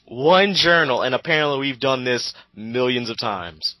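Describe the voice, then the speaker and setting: Male co-commentator, forceful and sharp, crude sarcastic ranting, dry recording. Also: medium pitch, lively intonation, moderate pace, no room sound.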